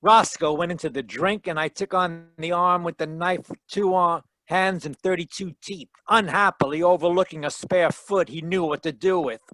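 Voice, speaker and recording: A man playing a wry, deadpan hardboiled detective who narrates his case in 1940s radio style. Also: sits high, moderate pace, few pauses, no room sound, rough recording. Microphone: close home mic on a video call.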